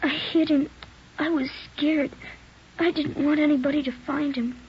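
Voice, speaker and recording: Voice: high voice, steady tone, normal speed. An actor in a 1940s radio drama playing a frightened, guilt-ridden nine-year-old boy, pleading and earnest. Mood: scared, remorseful. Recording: dull noisy 1940s radio recording.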